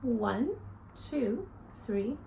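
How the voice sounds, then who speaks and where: A woman in her thirties reads and explains a picture book to young children like a teacher. Her voice is fairly high and very animated, with slow, deliberate phrasing. It is recorded indoors with faint ambient noise behind her. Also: creaky, low-quality recording. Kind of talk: expressive picture-book read-aloud and simple lesson for children. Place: small living room, indoors.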